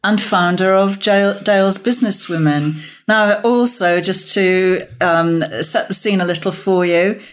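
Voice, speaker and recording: A woman hosting a podcast interview, asking questions in a gentle, conversational way with some ums. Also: medium pitch, measured pace. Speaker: podcast host.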